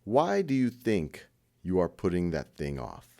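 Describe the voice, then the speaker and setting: A man leading a guided meditation in an informal, conversational style, with a gentle, encouraging manner and light self-deprecating humour. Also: low voice, huge pitch swings, unhurried, vocal fry.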